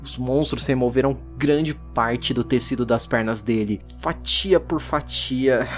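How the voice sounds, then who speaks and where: A performer with a Brazilian accent voicing a distraught, defiant, hunted woman occultist in a halting, emotionally charged audio drama monologue. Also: mid-range voice, close to an everyday voice. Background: music.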